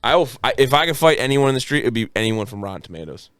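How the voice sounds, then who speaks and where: Animated man with a dry, close sound. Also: medium pitch, rapid-fire, clean tone, no room sound. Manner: opinionated, emphatic. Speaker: podcast co-host. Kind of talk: casual movie review banter.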